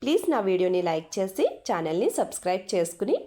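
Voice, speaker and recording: A young woman with a Telugu accent teaching English, reading each sentence slowly and deliberately, then explaining it. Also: mid-range voice, very animated, dark tone. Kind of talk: language lesson narration.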